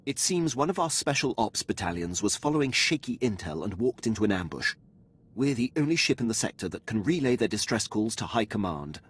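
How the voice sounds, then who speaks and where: An actor playing a dutiful, loyal military officer in a video game, speaking formally and with precise, clipped diction. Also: male voice, on the low side, sing-song, brisk, breathy edge. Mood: businesslike, urgent. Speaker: starship captain serving a lord.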